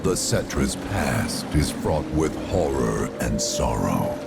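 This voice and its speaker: Extremely deep, gravelly ancient spirit. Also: male voice, big pitch swings, exaggerated voice. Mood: grave, ominous. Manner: grave, formal, deliberate. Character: solemn, ancient male spirit of a lost tribe. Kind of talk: video game cutscene acting. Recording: loud music under the voice.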